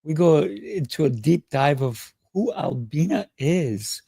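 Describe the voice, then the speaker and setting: Gravelly-voiced male podcast host. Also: on the high side, lively intonation, slow, dark tone, no echo. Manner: affirming, encouraging. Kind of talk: casual interview hosting.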